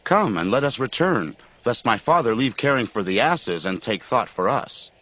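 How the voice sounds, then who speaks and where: An actor playing an uncertain young son on a search, with a husky voice, in a dramatized scripture reading. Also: male voice, mid-range voice, big pitch swings, moderate pace, pushed and bright. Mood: worried.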